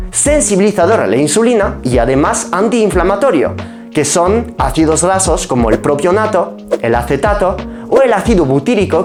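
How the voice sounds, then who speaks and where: A man's voice: high-pitched, a little mellow. A man from France with a French accent in his Spanish, a pharmacist explaining his diet to camera, asking and answering his own questions. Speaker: pharmacist and nutrition YouTuber. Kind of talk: explanatory nutrition talk to camera.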